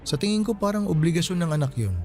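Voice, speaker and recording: A man's voice: high voice, lively intonation, quick pace, mellow. An actor playing a respectful, sympathetic younger man in a radio drama, talking politely and earnestly. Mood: mildly indignant.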